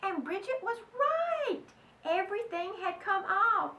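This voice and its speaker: A woman's voice: very high voice, very animated, unhurried, bright, forceful tone. A woman reading aloud to children in a dramatic, emphatic way, talking directly to her young listeners. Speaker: children's storybook reader. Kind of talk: animated read-aloud to children. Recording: echoey room, distant mic, faint noise.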